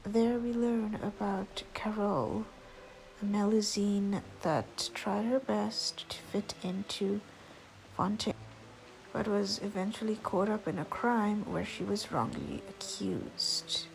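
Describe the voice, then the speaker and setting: A woman's voice: mid-range voice, slow pace, gravelly, slight background noise, poor audio. A woman narrating a casual recap of a video game story.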